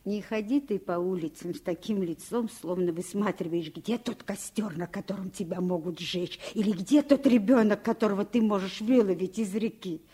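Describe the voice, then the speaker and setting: An actress playing a kindly, theatrical French governess, strict yet playful, in an expressive, gently lecturing radio play style. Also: female voice, mid-range voice, moderate pace, hardly any pauses, breathy edge, lightly acted. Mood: tender, teasing. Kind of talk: Soviet radio play acting.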